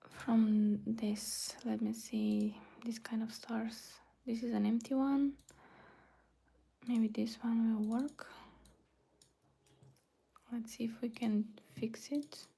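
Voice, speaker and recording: Soft-spoken woman with a gravelly, mellow voice. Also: fairly high pitch. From Bulgaria. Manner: murmuring half to herself, often dropping to a whisper. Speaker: web designer livestreaming her work. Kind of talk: casual livestream, thinking aloud while working.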